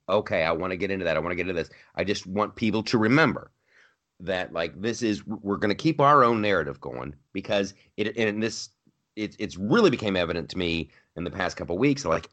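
Man, podcast co-host, low voice, swinging pitch, animated, repeats phrases. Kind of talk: casual political chat.

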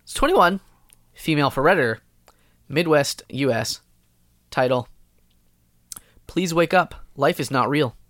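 A man's voice: on the high side, expressive, unhurried, long pauses, fairly bright tone, dry recording, studio quality. A man co-hosting a comedy podcast, chatting casually and reading personal ads aloud in a playful, giggly way. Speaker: podcast co-host.

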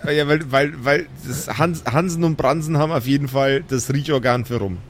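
A man's voice: on the high side, normal speed, a little hiss or hum. A man narrating and ruling a live improvised tabletop game as game master, in a vivid storytelling manner.

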